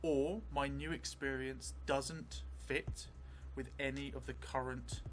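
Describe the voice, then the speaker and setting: A man's voice: medium pitch, steady tone, slow pace, slight background noise, rough recording. A middle-aged man casually explaining a tabletop game on a livestream, in a chatty, hesitant way. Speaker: host and solo player of a role-playing game. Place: small dark corner room in a theatre. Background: prominent background music.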